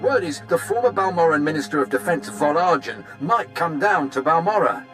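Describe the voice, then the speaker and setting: Lively, fawning Imperial captain. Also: male voice, on the high side, brisk, faint music behind. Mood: eager, deferential. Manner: effusive, obsequious, upbeat. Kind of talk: scripted game cutscene acting.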